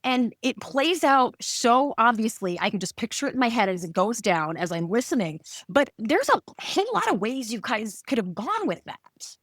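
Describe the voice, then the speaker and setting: A woman hosting a podcast in casual chat, bubbly and quick to laugh. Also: fairly high pitch, big pitch swings, a bit bright, dry recording, studio quality. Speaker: podcast host.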